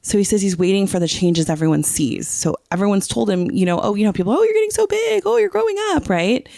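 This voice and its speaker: Gravelly podcast co-host, dry close sound. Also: medium pitch, sing-song, quick pace, mellow, no room sound. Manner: often checks that the listener follows. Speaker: teacher. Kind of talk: casual podcast chat, explaining song lyrics.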